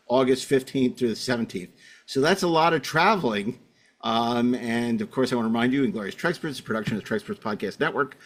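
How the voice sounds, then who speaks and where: A man's voice: mid-range voice, a bit bright. A man hosting a podcast in a casual, rambling way, often correcting himself as he goes. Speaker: podcast host.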